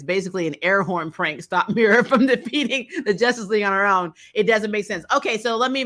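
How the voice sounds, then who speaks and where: A woman co-hosting a casual comic book chat, telling things in an animated, sarcastic way with mild swearing. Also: fairly low pitch. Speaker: co-host of a comic book discussion stream. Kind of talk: casual fan chat, reading and answering viewer comments.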